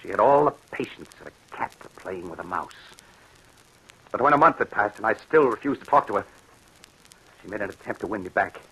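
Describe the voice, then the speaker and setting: An actor playing a haunted, bitter husband with grave, dramatic delivery in an old-time radio drama. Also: male voice, on the low side, expressive, a little fast, long pauses, fairly bright tone, husky, exaggerated voice, poor audio. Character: haunted, bitter husband recounting his troubled marriage.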